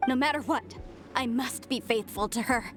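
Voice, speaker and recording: Woman playing a zealous devoted follower, high voice, earnest and impassioned, game music underneath. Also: normal speed, natural voice. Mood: defiant. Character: zealous young woman devoted to a fallen goddess.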